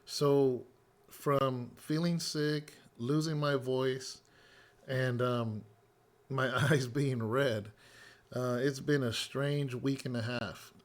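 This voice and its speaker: A middle-aged man, a pastor, slightly hoarse and raspy as he recovers his voice, telling a loose, rambling story full of fillers in a casual devotional update. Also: medium pitch, fairly even intonation, slow. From California.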